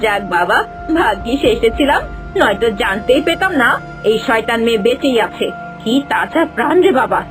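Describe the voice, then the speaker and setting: A woman's voice: fairly high pitch, animated, moderate pace, close to an everyday voice. A woman voicing a gloating cruel stepmother. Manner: gloating, venomous, muttering to herself. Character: cruel scheming stepmother, middle-aged woman. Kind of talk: cartoon voice acting. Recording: music bed underneath.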